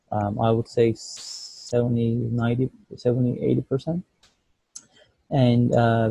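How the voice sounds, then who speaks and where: Man, informal work presenter, husky, slow-paced, hesitant, video call. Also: medium pitch, mellow, no room sound, rough recording. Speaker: student developer presenting a team project check-in. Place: indoors at home, on a video call.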